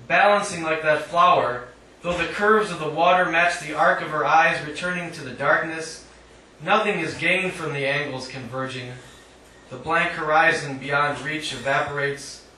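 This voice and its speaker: A man giving a live poetry reading in a measured, declamatory way with dry humour, adding short spoken asides. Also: on the high side, pushed and bright. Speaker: poet reading own work. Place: indoor literary cafe reading. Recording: echoey live room, distant mic, faint noise.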